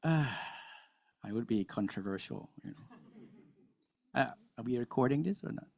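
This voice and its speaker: A man's voice: mid-range voice, animated, measured pace, vocal fry, a little mellow. A man speaking as a conference panelist, informal and chatty with hesitant phrasing. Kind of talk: panel discussion.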